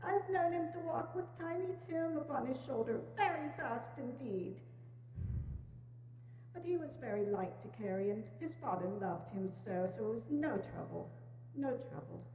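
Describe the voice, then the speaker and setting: A loving Victorian wife and mother acted by a woman, worn by grief, speaks tenderly and haltingly in a highish voice. It is a staged reading in a reverberant, slightly noisy hall. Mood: wistful. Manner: tender, halting, repeats phrases. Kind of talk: dramatic reading of a Victorian classic. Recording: echoey church hall, dull, hum.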